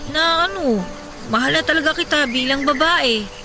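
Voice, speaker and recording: A woman's voice: sits high, moderate pace, natural voice. A woman acting as a shy, apologetic young woman in love in a Tagalog radio drama, with a hesitant manner and a Filipino accent. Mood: apologetic, confessing. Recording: music behind the voice.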